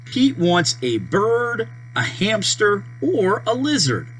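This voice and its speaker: Very slow, animated children's storybook reader. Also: mid-range voice. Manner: deliberate, simple phrasing with page-turn prompts. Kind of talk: children's read-aloud narration. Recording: steady mains hum behind voice.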